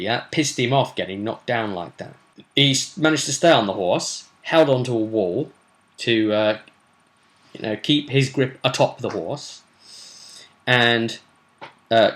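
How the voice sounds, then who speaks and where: A man's voice: mid-range voice, a bit bright. A man telling the story of a role-play session as game master, in an informal, slangy and mildly sweary way.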